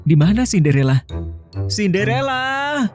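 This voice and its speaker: A woman's voice: mid-range voice, very animated, a little slow, a little mellow. A woman voicing a puzzled young male prince in a children's cartoon. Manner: puzzled, questioning. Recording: long reverb with music behind.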